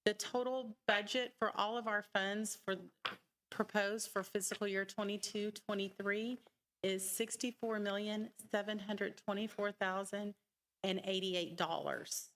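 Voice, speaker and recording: A woman's voice: mid-range voice, fairly even intonation, measured pace, a bit bright. A woman city finance official giving a matter-of-fact, explanatory budget presentation at a council meeting. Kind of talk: formal public budget presentation. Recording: noisy public meeting recording with clicks.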